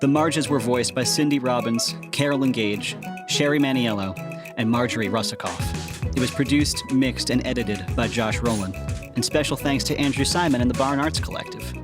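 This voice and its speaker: A man reads scripted podcast credits. His voice has a middle pitch, and plucked guitar plays clearly behind him. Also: normal speed. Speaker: podcast writer reading credits. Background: plucked guitar music.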